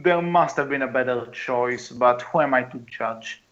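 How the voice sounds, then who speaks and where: A man co-hosting a podcast in casual discussion, speaking in a hesitant, halting way. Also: medium pitch, a bit bright. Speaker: podcast co-host. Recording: dull, muffled lo-fi mic.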